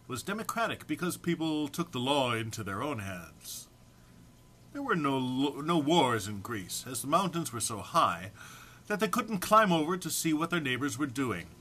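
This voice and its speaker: A man reads comic prose in a bright, fairly high voice. His delivery is theatrical and very animated, with emphatic, drawn-out phrases and an amused edge. The recording carries faint noise. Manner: amused, prone to breaking into laughter. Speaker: reader of a humorous text. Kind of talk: dramatic comic reading of a written text.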